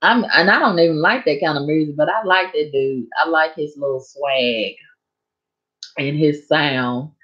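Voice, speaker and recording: Woman live streamer, slow, rambling, hoarse, dull lo-fi audio. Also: sits low. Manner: rambling, chatty, addresses viewers directly. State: hoarse from long talking. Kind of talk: casual live stream chat.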